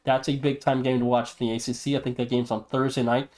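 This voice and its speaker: Male sports podcast host, medium pitch, emphatic delivery, casual news and commentary. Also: flat delivery, fast, darkish tone. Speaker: podcast host and league presenter.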